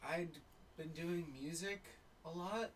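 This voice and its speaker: A man, a stand-up comedian, telling stories in a relaxed, casual chat with offhand swearing. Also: on the high side, slow pace. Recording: noisy background.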